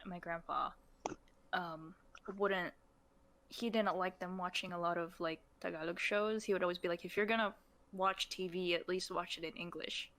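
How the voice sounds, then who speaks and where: A young woman from the Philippines talks casually on a podcast, her voice sitting a little low for a woman. She laughs often and lets sentences trail off before picking them up again. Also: fairly even intonation, normal speed, a bit bright. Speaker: podcast co-host. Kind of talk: casual chat.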